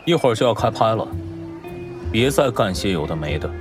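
A man acts a composed senior male star in an audio drama. His voice sits low, dry and measured, with a sardonic, mentoring edge over clear background music. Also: very animated. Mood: stern.

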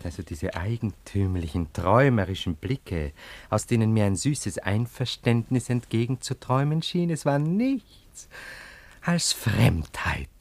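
A brooding lover in a radio drama, with a deep voice caught close and dry. He is jealous and poetic, forever probing and reproaching with a melancholy edge. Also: lively intonation, measured pace, darkish tone, dry recording. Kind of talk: German radio play acting.

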